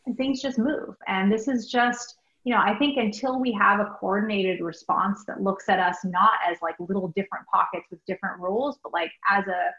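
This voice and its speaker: A middle-aged American woman, a guest doctor answering interview questions in a thoughtful, halting, conversational way. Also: medium pitch, steady tone, fairly bright tone. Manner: thoughtful, halting, peppered with like and you know. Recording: roomy, dull lo-fi remote recording.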